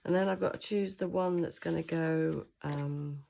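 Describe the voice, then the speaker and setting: A woman's voice: low voice, steady tone, moderate pace, gravelly, dark tone, slight background noise. A woman hosting a papercraft tutorial, sounding throaty from a cold and thinking aloud with frequent hesitations. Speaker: craft channel host. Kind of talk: chatty hands-on craft tutorial.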